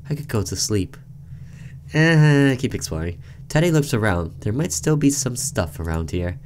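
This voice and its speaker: A man's voice: mid-range voice, very animated, normal speed, darkish tone, slight background noise. A man doing casual let's-play commentary, reading a game's narration aloud and reacting informally as he goes. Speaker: gaming video host reading a game's text aloud.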